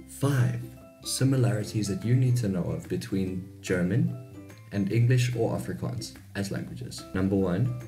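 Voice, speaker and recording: A man's voice: low voice, dark tone. A man in his twenties with a South African accent, giving a chatty, informal explainer to camera about languages. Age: 20s. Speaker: YouTube host. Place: small home bedroom.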